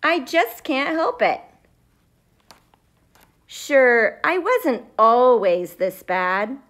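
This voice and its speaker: A woman voicing a grumpy, defiant little sunflower seed in an emphatic, drawn-out storybook delivery. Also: high voice, lively intonation, a bit bright, full character voice. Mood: wistful.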